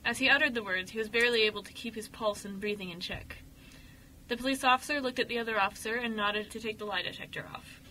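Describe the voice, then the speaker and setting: A woman narrating a scripted radio story dramatically, with expressive, emphatic delivery. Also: a little high, quick pace, poor audio. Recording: faint noise, amateur radio show recording.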